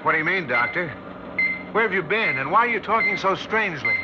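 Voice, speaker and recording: A man's voice: high voice, lively intonation, brisk, heavy background noise. A man playing a haunted witness who recounts a terrifying encounter with tense drama in 1960s radio style. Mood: alarmed. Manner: tense, dramatic first-person storytelling. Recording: muffled old radio recording with music.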